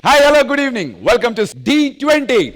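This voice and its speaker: A man hosting a stage entertainment show with animated, announcer-like delivery and comic banter. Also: extremely high pitch, a little fast, background noise. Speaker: dance show host. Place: TV studio stage. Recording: loud music behind voice.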